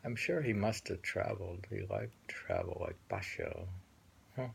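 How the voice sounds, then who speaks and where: A man reading poems aloud with casual commentary, musing and halting as he goes and often repeating phrases. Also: sits low, huge pitch swings, creaky, a little hiss or hum. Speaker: host reading and discussing poems.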